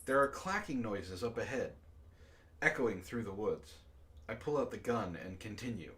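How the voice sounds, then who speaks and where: A man reading a story aloud to friends over voice chat, deadpan in the narration and laughing often between lines. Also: lowish voice, moderate pace. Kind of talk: casual group reading and chat.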